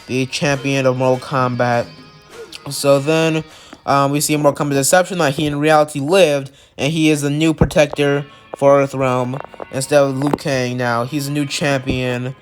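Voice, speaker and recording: A man with a cold hosting his channel, recapping video-game character lore in a rambling way, full of likes and uhs. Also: on the high side. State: has a cold. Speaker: channel host.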